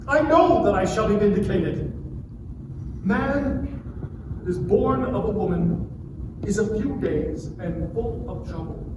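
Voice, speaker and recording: A man playing an anguished, defiant man pleading against God, declaiming with drawn-out phrases in a dramatic scripture reading. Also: sits high. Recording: distant, very echoey, noisy live room.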